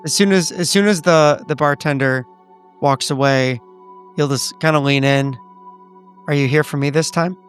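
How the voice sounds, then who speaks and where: A man with a fairly high, smooth and resonant voice talks through his character's feelings in a tabletop game. He is thoughtful and a little halting, and faint music plays behind him. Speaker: player.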